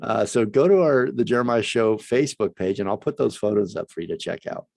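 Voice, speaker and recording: Excitable male talk show host. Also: lowish voice, a little fast, creaky, dry recording, studio quality. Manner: enthusiastic, rambling, many restarts. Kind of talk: casual chat.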